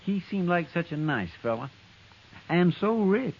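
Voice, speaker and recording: Folksy, earnest western sidekick. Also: male voice, sits high, lively intonation, measured pace. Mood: earnest, good-natured. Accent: American. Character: naive, folksy, respectful marshal's sidekick. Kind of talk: 1950s radio western acting. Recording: muffled, slightly noisy old radio recording.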